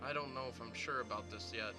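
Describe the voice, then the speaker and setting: A man's voice: mid-range voice, fast talker, loud music behind the voice, voice pushed down, natural voice. A man playing a doubtful, wary travel companion in an improvised comic radio drama, hesitant and dramatic.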